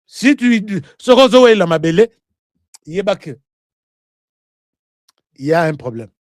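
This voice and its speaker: A high-pitched man with a Congolese accent hosts an online political commentary, recorded close and dry. He is animated and argumentative, firing rhetorical questions at his viewers and repeating phrases for emphasis. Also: a little slow, no echo. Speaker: online political show host. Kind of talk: live political commentary to viewers, mixing French and Lingala.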